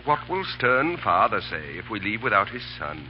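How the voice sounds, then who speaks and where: An actor playing a breezy, teasing army officer with an amused, mocking drawl in a 1930s radio drama. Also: male voice, on the low side, very animated, normal speed, background noise. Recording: dull 1930s radio recording.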